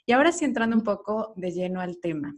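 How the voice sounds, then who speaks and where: Woman host, interviewing a guest, measured and appreciative. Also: medium pitch, big pitch swings. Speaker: podcast host. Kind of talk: interview hosting.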